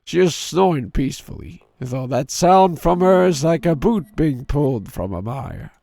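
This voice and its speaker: A man in his thirties with a sore, hoarse throat and a gravelly edge, talking slowly with a lively, swinging pitch. He streams a game and reads its story text aloud, sinking into a deeper storyteller voice. The sound is close and dry. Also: no room sound. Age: 30s. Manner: drops into a deep storyteller voice for the narration.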